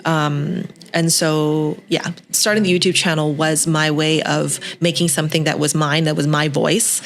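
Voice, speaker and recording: A woman's voice: sits low, a bit bright. A woman in her thirties who grew up in Canada, speaking as a podcast guest in a reflective way, with many fillers and restarts. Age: 30s. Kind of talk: podcast interview. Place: Hong Kong.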